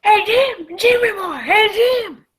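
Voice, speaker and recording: A woman playing a scheming, menacing one-legged male pirate in a radio drama, with a creaky voice. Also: very high-pitched, expressive, slow, voice pushed up, exaggerated voice. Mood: urgent, calling out.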